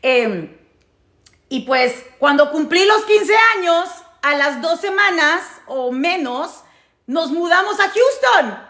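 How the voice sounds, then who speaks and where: A woman's voice: extremely high pitch, lively intonation, takes it slowly, fairly bright tone, echoey room. A woman with a Mexican Spanish accent tells a personal story casually to her followers, emphatically repeating phrases and checking in with her listeners. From United States, grew up in New Jersey. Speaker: storytelling vlogger.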